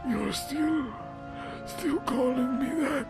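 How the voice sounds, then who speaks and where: A man playing a dying, regretful idealist revolutionary in an audio drama, speaking in halting, broken phrases. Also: very high voice, vocal fry, loud music behind the voice, full character voice. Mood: anguished, fading.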